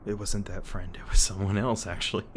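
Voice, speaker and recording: Male actor as haunted monster hunter: deep voice, guarded yet remorseful, halting intimate confession, audio drama with ambient noise underneath. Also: steady tone, natural voice. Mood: melancholy, reminiscing. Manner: halting, hesitant, emotionally weighted confession.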